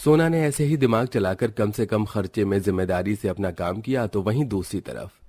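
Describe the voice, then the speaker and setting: A man with a fairly low voice serves as narrator of a cartoon family tale. He reads scripted third-person storytelling. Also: normal speed, mellow.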